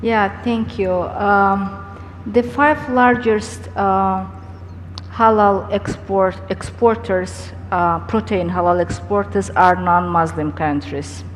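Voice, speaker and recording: Slow-spoken woman moderator in a hall. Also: medium pitch. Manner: slow and deliberate, with hesitant fillers. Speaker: panel moderator. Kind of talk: panel discussion. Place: large conference hall. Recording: echoey hall, faint background noise.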